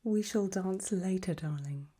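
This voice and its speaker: A woman playing an elegant, macabre aristocratic lady in a whispered ASMR roleplay, breathy, languid and serenely gracious. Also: fairly low pitch, warm tone. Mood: gracious, darkly pleased. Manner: slow, languid, genteel.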